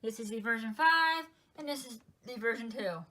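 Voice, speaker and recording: A chatty young woman with a fairly high voice talks through her collection in a relaxed home vlog. She counts items aloud one by one, easygoing and informal. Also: normal speed, a little hiss or hum. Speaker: weather radio collector. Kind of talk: casual show-and-tell vlog.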